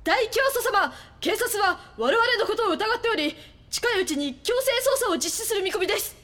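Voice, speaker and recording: An actress playing a fervent, excitable cult follower with an urgent, emotional delivery in an audio drama. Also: female voice, very high-pitched, moderate pace. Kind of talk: Japanese audio drama acting.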